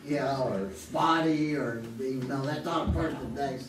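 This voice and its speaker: A man's voice: mid-range voice, measured pace, noisy background, natural voice. A man playing a chatty, rambling contestant on a staged 1950s quiz show. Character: chatty middle-aged male quiz contestant. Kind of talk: live stage radio acting. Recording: roomy theater, far mic.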